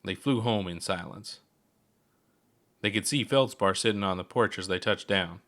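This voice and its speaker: A man narrates a piece of fiction in a fairly low voice. He reads as the author of the story, in scripted audiobook fashion. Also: normal speed, fairly bright tone. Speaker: author reading own story.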